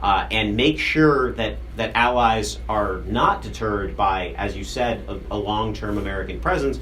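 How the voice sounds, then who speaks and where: A man reporting as a defense correspondent, explaining policy in a measured, explanatory way. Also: fairly low pitch, a bit bright, slight background noise, poor audio. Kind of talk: news analysis.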